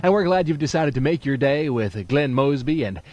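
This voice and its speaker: A man's voice: medium pitch, animated, moderate pace. A man working as a radio DJ in a studio, giving playful, folksy announcer patter for a 1980s music show. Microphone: studio broadcast microphone.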